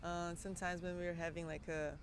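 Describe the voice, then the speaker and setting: A woman's voice: on the low side, level tone, fairly quick. A Brazilian woman whose first language is Portuguese, chatting casually in English and searching for words, outdoors on a city street. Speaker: host's wife, co-host. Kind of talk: casual chat. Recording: outdoor city traffic noise.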